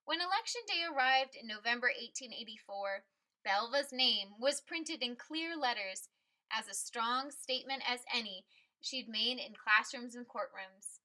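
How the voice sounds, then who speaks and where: Bright, high-pitched woman reading a storybook aloud. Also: expressive, a little slow, poor audio. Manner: emphatic, dramatic phrasing with stressed key words. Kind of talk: expressive picture book read-aloud.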